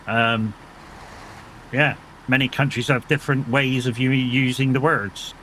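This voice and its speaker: A man's voice: medium pitch, fairly even intonation, measured pace, fairly bright tone, a little hiss or hum, poor audio. A man with a British accent, chatting casually with viewers during a live gaming stream, reassuring and easygoing, swearing now and then and laughing often. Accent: British English.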